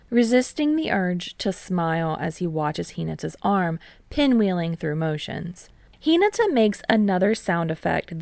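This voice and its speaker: Female fiction narrator; gravelly fry, lowish register, dry sound, audiobook reading. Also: darkish tone, no echo.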